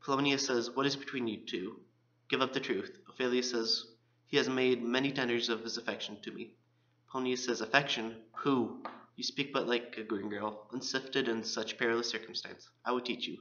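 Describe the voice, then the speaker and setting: Male college student reading a scripted literary presentation, forceful bright voice, dull lo-fi sound. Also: medium pitch, fairly even intonation, normal speed.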